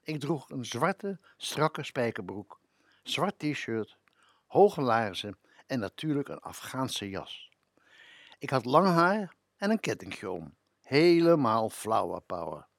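A man's voice: medium pitch, sing-song, takes it slowly, dry recording. A man in his seventies recounting memories on a local radio show. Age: 70s. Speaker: radio presenter.

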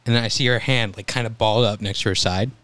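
A young man telling EMT stories in a relaxed, calm voice during a casual chat. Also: on the low side, quick pace, smooth, no room sound. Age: young adult. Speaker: guest, EMT volunteer.

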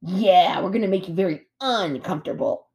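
A woman's voice: fairly low pitch, normal speed, warm tone, lightly acted. A woman voicing a sulky little brother bear in a storybook, whiny, defensive and blustering. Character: sulky little brother bear who echoes his sister.

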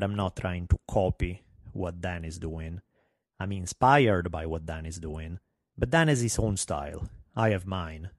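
A man's voice: sits low, darkish tone, no room sound, clean studio sound. A middle-aged man with a heavy Italian accent, hosting a solo podcast in a candid, wry, self-deprecating way. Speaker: podcast host.

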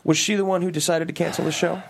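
A man's voice: fairly high pitch, fairly even intonation, fast, creaky, slight background noise. A man playing a pressing, sardonic plaintiff's lawyer with a pointed, probing manner in a legal drama read. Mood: probing, accusing.

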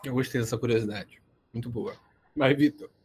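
A man's voice: medium pitch, fairly quick, darkish tone, husky. A man of twenty hosting a casual podcast from home, joking and teasing his friends. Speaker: podcast host.

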